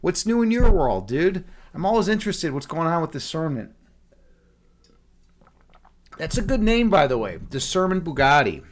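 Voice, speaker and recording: Chicago streamer, young guy, slightly high voice, easygoing chat, lots of man and dude. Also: big pitch swings, normal speed, long pauses, slight background noise. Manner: laid-back, chatty, frequent "man" and "dude", many restarts. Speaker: streamer and chess instructor. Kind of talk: casual live-stream chat with viewers.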